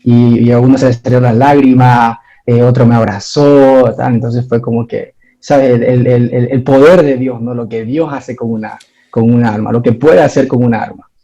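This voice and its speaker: A man's voice: medium pitch, fairly even intonation, moderate pace, warm tone, low-quality recording. A man from Ecuador, giving his church testimony in an interview and talking hesitantly, with many fillers and restarts.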